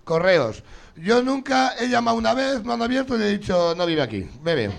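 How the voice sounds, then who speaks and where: A man's voice: sits high, big pitch swings, a little fast, creaky. A man hosting a live comedy game show, teasing and swearing as he jokes with the audience. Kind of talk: loose stand-up banter with the audience.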